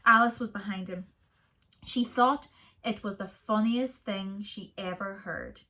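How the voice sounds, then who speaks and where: A woman reading a children's story aloud in a soft, expressive way. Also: medium pitch, unhurried.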